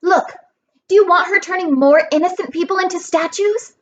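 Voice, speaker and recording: A woman's voice: very high-pitched, normal speed, highs cut off, pitched above the performer's own voice. A woman voicing a brainy, determined girl in a fantasy storybook, insistent and matter-of-fact. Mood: urgent, insistent.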